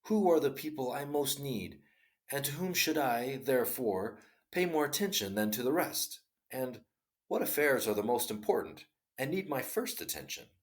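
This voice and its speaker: An earnest storybook king with a voice that climbs and falls as he presses his questions, as read by a man. His tone is pleading and sincere. He speaks with wide swings in pitch. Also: very animated, normal speed, close to an everyday voice.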